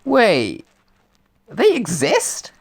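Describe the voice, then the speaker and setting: A man's voice: high-pitched, wildly swooping pitch, extremely slow, vocal fry, heavily acted. A man playing the pompous ghost of a murdered novelist, dithering and self-important, in an audio drama. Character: pompous dead male novelist, now a ghost.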